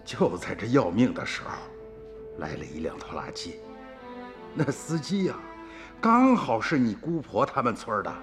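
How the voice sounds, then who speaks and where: An actor playing an older male storyteller recounting family events to a listener in a radio drama, unhurried and wry. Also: mid-range voice, big pitch swings, over music. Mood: warm. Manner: slow, chuckling storytelling. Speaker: narrator.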